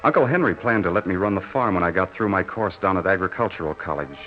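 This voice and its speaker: A man's voice: deep voice, lively intonation, fast talker, slight background noise. An actor playing an earnest, lovelorn young farm-raised soldier, telling his story in a folksy, solemn way in 1940s radio drama style. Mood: wistful. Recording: muffled old radio recording with music. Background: dramatic music.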